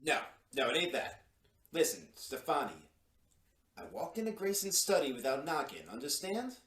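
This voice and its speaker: A man's voice: a little high, big pitch swings, moderate pace, fairly bright tone, far from the mic. An actor playing a nervous, crooked male secretary in an old-time radio drama, stammering and colloquial, in a roomy space. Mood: nervous, urgent.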